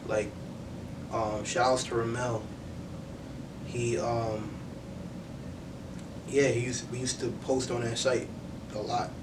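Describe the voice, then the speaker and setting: Young male interview guest, earnest and reflective, conversational, average pitch, recorded off-mic over clear noise. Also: level tone, takes it slowly, long pauses, distant mic. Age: young adult. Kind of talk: casual sit-down interview. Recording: roomy, off-mic, noisy with low rumble.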